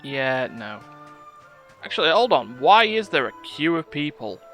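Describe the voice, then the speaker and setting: A man's voice: fairly high pitch, sing-song, measured pace, fairly bright tone. A man streaming a video game, giving casual live commentary and muttering asides to himself and his chat. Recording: game music behind the voice. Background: video game music.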